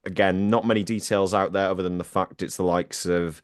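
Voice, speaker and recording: Deep, low-pitched man on a dry, close home microphone. He presents a football news roundup in a chatty, self-deprecating way, with plenty of ums. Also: fairly even intonation, fairly quick, no room sound. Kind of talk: casual podcast chat.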